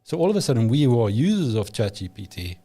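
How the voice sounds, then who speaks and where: Man, Afrikaans speaker, mellow tone, emphatic, opinionated, podcast guest. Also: medium pitch, very animated, quick pace. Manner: emphatic, opinionated, frequent restarts. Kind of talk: casual conversation.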